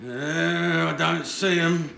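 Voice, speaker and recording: A man's voice: on the high side, steady tone, slow, vocal fry, pushed and bright, exaggerated voice. An actor playing a bossy, hearty, hard-of-hearing old grandfather in a live radio play, blustering and querulous. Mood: grumpy, bossy. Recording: roomy live stage recording.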